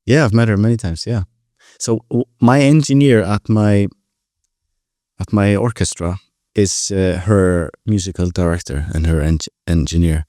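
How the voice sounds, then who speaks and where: Deep-voiced young Icelandic man chatting. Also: normal speed, warm tone, no echo, clean studio sound. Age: 20s. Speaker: podcast guest, composer and pianist. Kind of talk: casual interview conversation.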